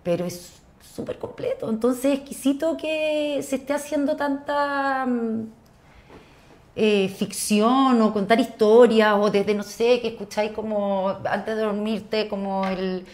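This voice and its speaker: A woman's voice: fairly high pitch, unhurried, a little mellow. A Chilean woman speaking informally and colloquially as a guest in a casual interview. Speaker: actress, podcast guest.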